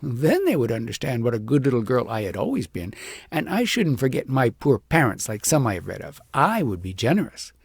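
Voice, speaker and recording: A man's voice: medium pitch, huge pitch swings, brisk, vocal fry, slightly rough, no room sound, studio quality, heavily acted. A man voicing a vain, scheming, self-pitying young shepherd girl in a haughty, dramatic storybook manner. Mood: wistful, boastful. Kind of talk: acted audiobook storytelling.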